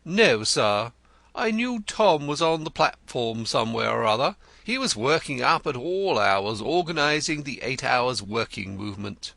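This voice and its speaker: A man's voice: medium pitch, sing-song, measured pace, gravelly, bright, forceful tone, dry recording, pitched above the performer's own voice, full character voice. A man voicing a vain, theatrical male poet in an audiobook, florid and gushing, stammering when pressed. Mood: effusive. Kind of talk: audiobook character reading.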